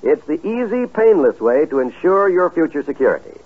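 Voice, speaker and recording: A man's voice: on the high side, big pitch swings, normal speed, slightly rough. A man reading scripted announcements as a 1950s radio announcer, in a polished, declamatory manner. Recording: tinny, narrow 1950s radio recording.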